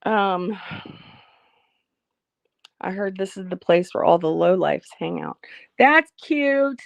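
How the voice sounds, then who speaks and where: A woman hosting a casual livestream, reading out viewer comments and speaking frankly about her life, slightly hoarse as she loses her voice. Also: mid-range voice, lively intonation, slow pace, no room sound, muffled recording. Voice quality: frank, blunt, swears freely. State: losing her voice, a bit hoarse. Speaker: livestream host.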